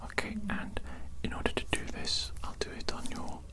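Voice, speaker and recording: Whispering evil doctor played by a woman, fussy and deliberate. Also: natural voice. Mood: methodical, musing. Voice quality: breathy, unvoiced whisper. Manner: slow, deliberate, repeats phrases. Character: fussy evil doctor, politely sinister, methodical. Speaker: ASMR roleplay performer. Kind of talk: whispered ASMR roleplay.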